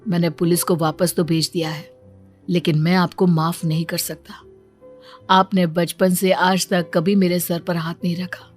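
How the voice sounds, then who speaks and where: A woman as a low-voiced, resolute young stepson. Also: little pitch movement, moderate pace, soft background music, natural voice. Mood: hurt, firm. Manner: earnest, accusing. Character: wronged young stepson, newly defiant and resolute. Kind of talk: dramatised cartoon story dialogue.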